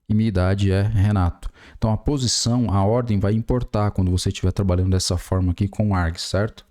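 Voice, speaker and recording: Low mellow-voiced Brazilian man teaching code. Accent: Brazilian Portuguese. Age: 40s. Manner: explains step by step while typing, many fillers. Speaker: programming tutor. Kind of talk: screen-recorded coding tutorial.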